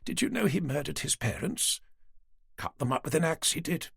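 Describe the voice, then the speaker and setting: A frail, forgetful old woman, put on by a male audiobook narrator chatters in a genteel, rambling way. Her voice lifts and dips a lot and carries a gravelly edge. The sound is dry and close. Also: low-pitched, sing-song, normal speed, no room sound, voice pushed up, full character voice. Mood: chatty. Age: older. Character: frail, forgetful elderly woman, retired nurse. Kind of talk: audiobook character voice.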